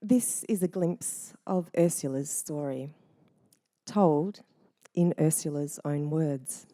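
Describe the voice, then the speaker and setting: A woman narrating a family memoir in a measured, reflective first-person reading. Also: deep voice, mellow, dry recording, studio quality.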